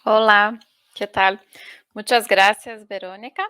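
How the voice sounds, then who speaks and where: A woman from Brazil speaking Spanish as a second language with a Brazilian Portuguese accent, presenting on a video call and often pausing to look for words. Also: medium pitch, little pitch movement, pushed and bright, clear, resonant tone, no room sound. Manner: unhurried, searching for words, frequent "¿no?". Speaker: UN refugee agency panelist. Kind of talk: online forum presentation.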